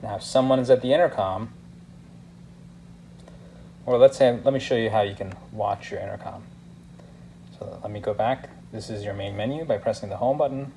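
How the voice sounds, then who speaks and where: A man's voice: on the low side, moderate pace, pauses often, dark tone, poor audio. A man narrating a casual hands-on product tutorial as a demonstrator, explaining things step by step in an instructional way. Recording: clear background noise, room ambience.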